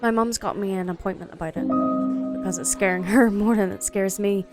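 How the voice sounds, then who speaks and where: Mid-pitched young female student acted out, music behind. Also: fairly even intonation, fairly quick, close to an everyday voice. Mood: subdued. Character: headstrong young female college student, bold and determined. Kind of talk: amateur audio drama acting.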